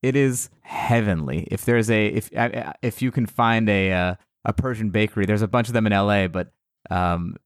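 Low-voiced man telling rambling stories. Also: normal speed, no room sound, clean studio sound. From United States. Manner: rambling, animated storyteller. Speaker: podcast guest. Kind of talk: casual chat.

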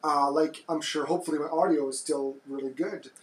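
Man, deep voice, soft-spoken, hesitant, podcast co-host, echoey room. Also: steady tone, a little fast. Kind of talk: casual chat.

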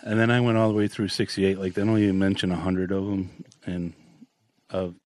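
A man's voice: low-pitched, level tone, brisk, a little mellow. A man co-hosting a podcast in casual chat, easygoing, reading out items from a list. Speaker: podcast co-host.

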